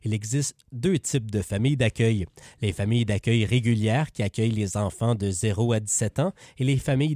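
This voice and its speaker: Man, Quebec French accent, local radio news reader, steady, dry studio. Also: mid-range voice, no echo. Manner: relaxed chatty in banter, steady when reading. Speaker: news reporter. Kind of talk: read news report with casual banter.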